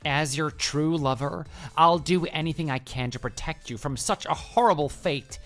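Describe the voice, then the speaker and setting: A man's voice: a little high, normal speed, a bit bright, faint music behind, natural voice. An actor playing a deluded, pushy male office coworker in love, making florid, earnest declarations in a scripted online drama. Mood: grandiose pleading.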